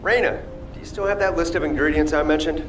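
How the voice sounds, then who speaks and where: An actor playing an easygoing young chef in a video game, with a casual, teasing way of talking. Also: male voice, a little high, fast talker, music in the background, close to an everyday voice, low-quality recording. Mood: casual, friendly. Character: easygoing, joking young male chef and soldier.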